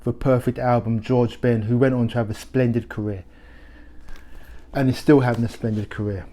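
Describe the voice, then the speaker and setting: A middle-aged man talks through his favourite records in an easy, conversational way, stopping and restarting his sentences as he goes. His voice is mellow and dark, pitched in an ordinary middle range. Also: normal speed. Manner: relaxed, conversational, with frequent restarts and fillers. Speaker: record shop host. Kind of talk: casual music recommendations to camera.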